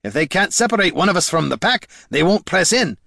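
A man voicing a gruff, bossy, impatient warrior leader in a fantasy audiobook, with a blunt folksy bark. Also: a little high, big pitch swings, normal speed, fairly bright tone, dry recording, studio quality, pitched above the performer's own voice, heavily acted. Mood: commanding shout.

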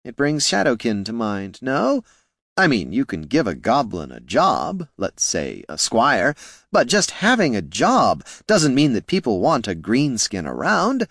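A man's voice: mid-range voice, wildly swooping pitch, a little slow, a bit bright, no echo, studio quality, voice pushed up, full character voice. A man voicing a sly, smug male gnome who talks with oily, mock-polite courtesy. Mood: insinuating.

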